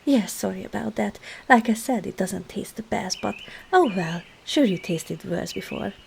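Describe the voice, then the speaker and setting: A woman playing a sly, coaxing witch herbalist in an ASMR roleplay, speaking softly and often slipping into a whisper. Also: medium pitch, animated, moderate pace, creaky, slight background noise.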